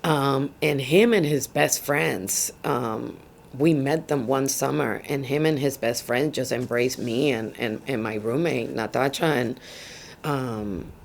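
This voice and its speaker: Woman in her thirties with a Puerto Rican accent, Spanish first language, gravelly tone; reflective interview subject, light hiss. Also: a bass voice, slow. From Puerto Rico. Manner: reflective, laughs often, many fillers. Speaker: interviewee, social worker. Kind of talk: oral history interview. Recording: faint background noise.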